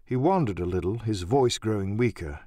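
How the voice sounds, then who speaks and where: A man's voice: lowish voice, expressive, normal speed, warm tone, dry recording, clean studio sound. A man narrating an audiobook as scripted, dramatic first-person storytelling.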